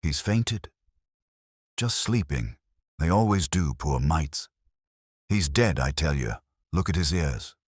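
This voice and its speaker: A man's voice: extremely low pitch, slightly rough, no room sound, studio quality, heavily acted. A man playing gossiping, suspicious village churchgoers with an opinionated, colloquial manner in a mystery story. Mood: alarmed gossip.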